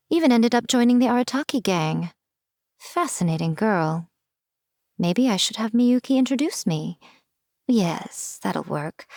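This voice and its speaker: A woman voicing a sly, elegant fox-spirit shrine priestess in a video game, with coy, teasing, haughty and measured delivery. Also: medium pitch, a little mellow, dry recording, studio quality. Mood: amused, sly. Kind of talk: game character voice lines, theatrical monologue.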